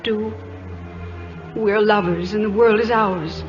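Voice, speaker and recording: A woman plays a genteel highborn lady, romantic and proud, in a vintage radio drama. The recording is dull, with faint music behind her. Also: steady tone, takes it slowly, lightly acted. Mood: yearning. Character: ardent aristocratic English lady in love, poised and proud. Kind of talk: old-time radio drama acting.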